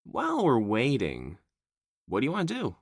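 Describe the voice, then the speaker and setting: A woman voicing a bubbly, friendly, excitable young creature with an exuberant, chatty manner, in an ASMR audio roleplay. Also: a bass voice, moderate pace, no echo, clean studio sound, exaggerated voice.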